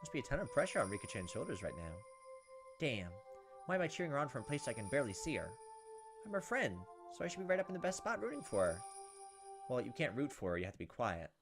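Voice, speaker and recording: A man's voice: medium pitch, very animated, fast, over music. A man reading a visual novel aloud as a let's play host, with casual, crude joking asides.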